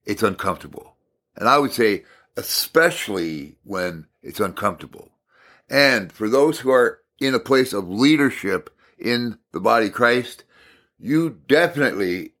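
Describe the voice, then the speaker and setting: A man teaching a devotional message in a calm, unhurried way with thoughtful pauses. His voice is a little raspy from talking a lot. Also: on the low side.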